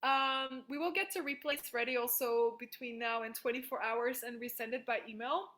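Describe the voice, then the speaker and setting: Bright-voiced woman leading a video call. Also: fairly high pitch, steady tone, moderate pace. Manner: enthusiastic, frequent "like", laughs easily. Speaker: workshop facilitator. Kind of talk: casual group call coaching.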